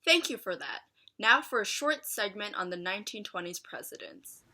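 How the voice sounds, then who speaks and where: A woman's voice: medium pitch, big pitch swings, moderate pace, pushed and bright. A woman anchor introducing segments with brief scripted news links.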